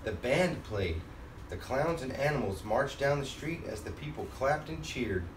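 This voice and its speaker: Roomy recording of a dad reading aloud. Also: male voice, medium pitch, unhurried. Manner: expressive, acts out character lines. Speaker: father reading storybook, host. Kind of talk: children's storybook read-aloud. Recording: roomy, distant mic, faint background noise.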